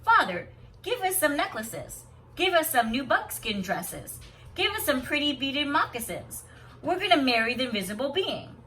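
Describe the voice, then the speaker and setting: Woman acting proud, high-pitched boastful young sister. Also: big pitch swings, moderate pace, a bit bright, soft background music. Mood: demanding. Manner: boastful, stammering and whispering when cornered.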